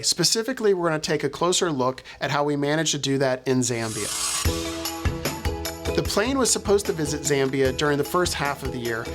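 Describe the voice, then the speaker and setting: A man hosting a podcast, reading a scripted introduction and then interviewing a guest over a video call. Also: fairly high pitch. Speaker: podcast host.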